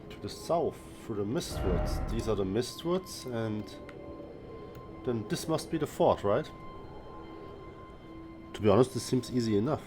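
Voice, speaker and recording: A man's voice: medium pitch, expressive, a little slow, long pauses. A man giving casual gameplay commentary, reacting as a player to game dialogue. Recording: game music behind the voice.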